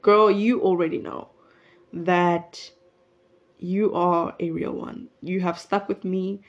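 A woman's voice: lowish voice, fairly even intonation, slow pace. Rambling young woman podcaster, lo-fi. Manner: rambling, chatty, self-interrupting. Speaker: podcast host. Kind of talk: casual solo podcast chat. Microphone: mic plugged into a phone.

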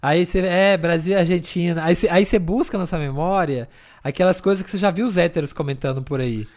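A man, co-hosting a podcast in animated, chatty conversation full of fillers. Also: sits high, fast talker. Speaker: podcast co-host. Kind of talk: casual chat.

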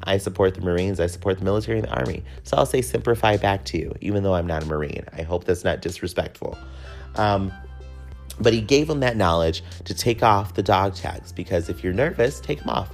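A man's voice: deep voice, a little fast, creaky, soft background music. A man hosting a podcast, talking casually and candidly in a personal, conversational monologue with some casual swearing. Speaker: podcast host.

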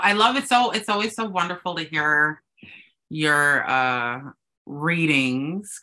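A guest with a mix of West Indian and American accent, chatting casually, animated and swearing freely while telling stories. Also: mid-range voice. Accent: mixed West Indian and American. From United States, West Indian family. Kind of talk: casual chat.

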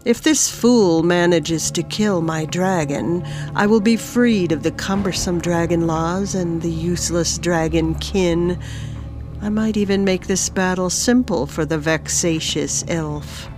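A woman's voice: lowish voice, music in the background, close to an everyday voice. A woman voicing a sadistic male monster, a dragon lord who taunts and gloats ominously in a fantasy audiobook. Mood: scheming. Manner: ominous, vicious, deliberate taunting. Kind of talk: audiobook fantasy villain dialogue.

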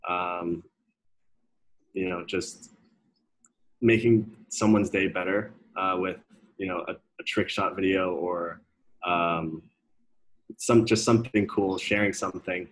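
A young man from Denmark talks about his life as a guest in a casual interview. His voice is deep and quite flat. He pauses often with ums and restarts. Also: rough recording. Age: young adult. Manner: hesitant, many ums and restarts.

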